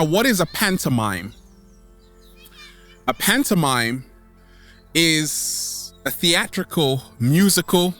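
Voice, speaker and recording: A man's voice: fairly high pitch, pushed and bright. A man hosting a motivational vlog outdoors, upbeat and theatrical, stretching out his words and repeating them playfully. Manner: upbeat, exuberant. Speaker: video host. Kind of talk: motivational vlog to camera, catchphrases. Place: outdoors in nature.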